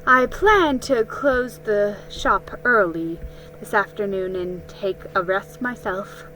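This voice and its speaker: A woman voicing a kindly, practical woman shopkeeper in a children's story, gently giving instructions. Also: mid-range voice, takes it slowly, faint music behind, poor audio. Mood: kindly, generous.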